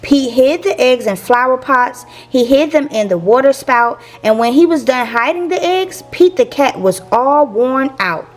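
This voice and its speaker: A woman reads a storybook for young children. Her voice is high and swings widely in pitch as she tells it expressively. Soft music plays underneath. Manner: expressive, measured phrasing for young listeners. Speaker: teacher reading picture books for a channel. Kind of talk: children's read-aloud storytelling.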